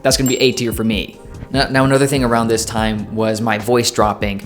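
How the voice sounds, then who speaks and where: A young man in his twenties chats straight to camera in an easygoing vlog, tossing in jokes and plenty of fillers. His voice sits at an ordinary middle pitch, and soft music plays faintly underneath. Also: flat delivery, fairly quick. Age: 20s. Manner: chatty, lots of fillers and self-corrections; playful, jokey. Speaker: vlogger. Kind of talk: casual talk to camera.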